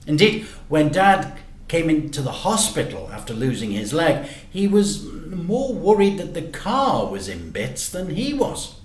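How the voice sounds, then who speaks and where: A man reading a children's story aloud in an animated, deliberate way with dramatic emphasis. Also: on the high side. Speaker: teacher reading stories to children. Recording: echoey room.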